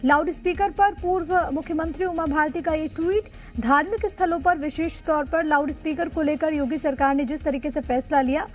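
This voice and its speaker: An Indian woman anchoring live television news, speaking emphatically and repeating key points over background music. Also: high voice.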